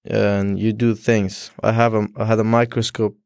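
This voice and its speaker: A young man from Afghanistan whose first language is Dari Persian, speaking as a student guest in an interview, halting with frequent restarts and fillers. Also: fairly low pitch, level tone, creaky, darkish tone, dry recording, clean studio sound. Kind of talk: casual interview.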